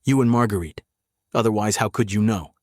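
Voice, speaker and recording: An actor playing a middle-aged sheriff in a noir audio drama, a patient interrogator who is measured, terse and probing. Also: male voice, on the low side, no room sound, clean studio sound, close to an everyday voice. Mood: quietly menacing. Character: male small-town sheriff, calm, patient, steely interrogator.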